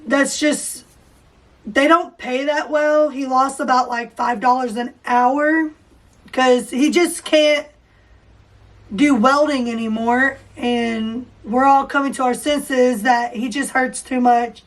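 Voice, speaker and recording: A woman with a hoarse, high voice hosts a casual podcast. She talks slowly and rambles with fillers and restarts. Her voice is picked up from a few feet back from the microphone. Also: steady tone. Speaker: podcast host. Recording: voice distant from the mic.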